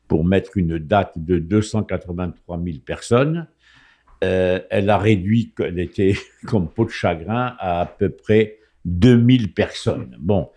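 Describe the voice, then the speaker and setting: A man's voice: deep voice, a little mellow. A man from Morocco speaking on a radio talk show, hesitant, with frequent fillers and restarts. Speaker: guest commentator. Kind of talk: casual radio chat. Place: radio studio.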